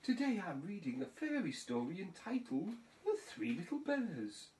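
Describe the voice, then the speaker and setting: Jokey teddy reading storybook aloud, female performer, mid-pitched, animated, a little off the mic. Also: moderate pace, distant mic, natural voice, poor audio. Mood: genial. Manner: conversational, jokey. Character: genial teddy bear storyteller. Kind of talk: comic storybook reading in a puppet show.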